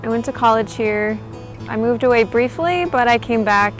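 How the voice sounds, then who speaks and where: Sincere woman, higher voice, faint music behind. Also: expressive, normal speed. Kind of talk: casual interview answer.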